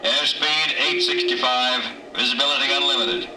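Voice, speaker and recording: A man's voice: medium pitch, fairly even intonation, moderate pace. A male actor playing a military pilot in a 1950s radio drama, speaking terse procedure over an aircraft radio. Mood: clipped, businesslike. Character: clipped male military observation plane pilot. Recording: echoey, distant radio call, loud engine noise.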